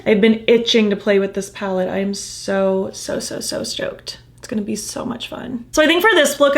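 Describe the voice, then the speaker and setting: A woman chatting casually through a makeup tutorial, gushing about the colors and murmuring softly while she applies them, her voice a little croaky from losing it. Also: medium pitch. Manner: gushing, enthusiastic, soft murmurs while applying. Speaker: makeup vlogger.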